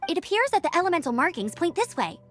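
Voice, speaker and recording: A woman playing an earnest, upbeat young knight scout in a video game, brisk and determined in manner. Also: very high-pitched, sing-song, music in the background. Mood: focused, explaining.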